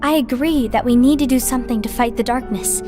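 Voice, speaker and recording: A woman acting as a gentle young leader in a game cutscene, with a very high voice. She sounds tentative and soft-hearted over clearly audible music. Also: brisk, close to an everyday voice. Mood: hesitant. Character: gentle, hesitant young female guild leader. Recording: background music under dialogue.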